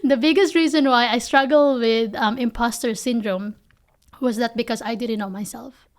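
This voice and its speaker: A woman from the Philippines with a Filipino accent talks earnestly in an interview, her voice sitting a little high. She speaks close to a desk podcast mic in a small office studio. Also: normal speed. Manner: earnest and animated, frequent "you know". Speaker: guest, company founder. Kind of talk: candid interview answers. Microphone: desk podcast mic on boom arm.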